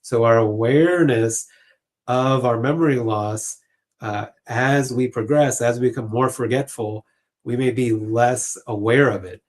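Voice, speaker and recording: A man speaking as a clinician guest, explaining things conversationally in a halting, informal way with lots of filler phrases. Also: mid-range voice, fairly even intonation. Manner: halting, informal, peppered with "you know" and "like".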